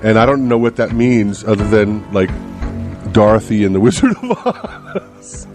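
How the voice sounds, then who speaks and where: A man's voice: on the low side, sing-song, normal speed. A man co-hosting a radio show with casual comic banter and playful, teasing interjections. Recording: music behind the talk. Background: music.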